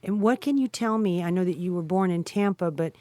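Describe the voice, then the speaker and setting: A middle-aged woman asking questions in a gentle, conversational interview style. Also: on the low side, brisk, dry recording. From United States. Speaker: interviewer, daughter of the guest.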